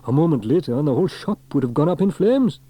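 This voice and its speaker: A man's voice: on the high side, lively intonation, fast talker, mellow, pitched above the performer's own voice. A man voicing a cheerful, reassuring male firefighter hero in a storybook reading.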